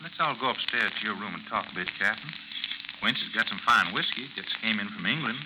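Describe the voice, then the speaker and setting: Quick, deferential officer played by a man, very noisy. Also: lowish voice, animated, close to an everyday voice. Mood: polite, persuasive. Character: smooth-talking, deferential male army officer sidekick. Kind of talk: 1950s radio drama acting. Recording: very noisy, hissing 1950s radio recording.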